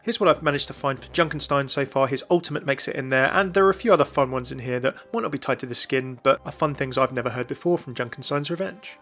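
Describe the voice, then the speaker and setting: A man's voice: mid-range voice, fast, few pauses, a bit bright. A man hosting a gaming video, giving informal commentary as a voice-over. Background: music.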